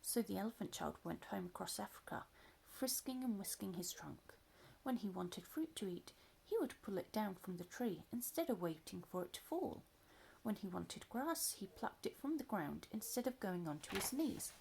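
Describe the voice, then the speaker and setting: A woman reading a children's story aloud in an expressive, playful storytelling manner. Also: mid-range voice, normal speed, vocal fry, few pauses, slight background noise, rough recording.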